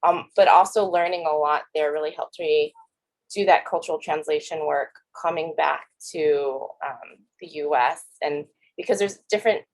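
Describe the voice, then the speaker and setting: Young woman, low voice, casual, lots of fillers, panel talk. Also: normal speed, darkish tone, poor audio. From United States. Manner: casual, frequent fillers like you know. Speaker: panelist, community worker. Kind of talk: panel discussion. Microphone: video call.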